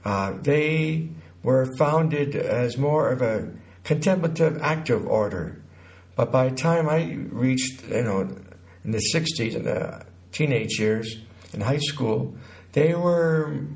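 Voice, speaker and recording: A man in his sixties from Connecticut recounts his life as an interview guest, haltingly, pausing mid-sentence. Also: mid-range voice, a little mellow. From Connecticut, United States. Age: 60s.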